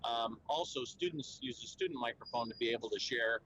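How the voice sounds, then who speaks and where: Man, trade show spokesman, earnest explainer, mid-pitched voice, fillers and restarts, faint background noise. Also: lively intonation, fairly quick, fairly bright tone, lo-fi sound. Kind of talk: conversational explainer interview.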